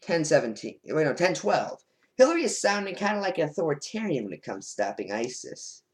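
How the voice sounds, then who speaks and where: A man giving sarcastic, rambling reaction commentary from his notes. His pitch swings up and down constantly, with lots of fillers and asides. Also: big pitch swings, a little fast. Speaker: political commentator vlogger.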